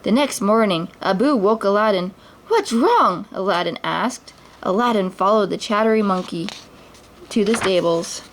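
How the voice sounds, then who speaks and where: A woman reading a children's picture book aloud at story time. Her gentle read-aloud has the odd stumble and restart. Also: mid-range voice, normal speed, a little hiss or hum. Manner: gentle, with small slips and restarts.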